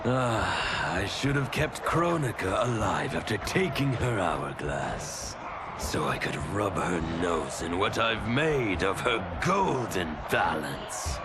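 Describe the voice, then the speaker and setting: An actor playing a gloating, theatrical chaos tyrant in a video game cutscene, mocking and flamboyant in delivery. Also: male voice, deep voice, very animated, slow pace, heavy background noise, full character voice. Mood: smug, scornful.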